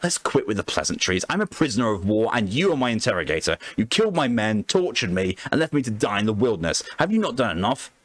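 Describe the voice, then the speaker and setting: A man voicing a bitter, defiant Soviet soldier held prisoner, speaking in a formal, halting, accusatory way from a written transcript. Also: lowish voice, big pitch swings, no echo.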